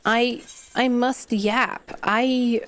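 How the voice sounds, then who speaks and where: Slow-talking Australian woman streamer, worn throat. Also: a little high, steady tone. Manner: chatty, rambling, casually swearing. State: slightly worn voice, irritated throat. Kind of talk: casual livestream chat while gaming.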